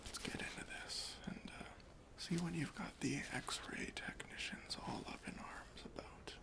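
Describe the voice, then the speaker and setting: Slow, whispering, stern airport security officer, acted out. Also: mid-range voice. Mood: methodical, suspicious. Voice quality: breathy whisper with creaky, gravelly edges. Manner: slow, deliberate, officious questioning. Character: stern, suspicious airport chief security officer interrogating a traveller. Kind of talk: whispered ASMR roleplay.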